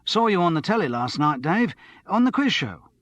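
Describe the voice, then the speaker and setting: Man acting a fawning, high-pitched pub barman. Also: very animated, moderate pace, fairly bright tone, dry recording. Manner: eager, ingratiating.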